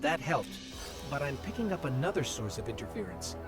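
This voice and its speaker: A man voicing a small, earnest, inquisitive robot companion in a video game, with a thoughtful and expressive delivery. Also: medium pitch, brisk, natural voice. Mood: focused, puzzled. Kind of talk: scripted video game dialogue. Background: background music.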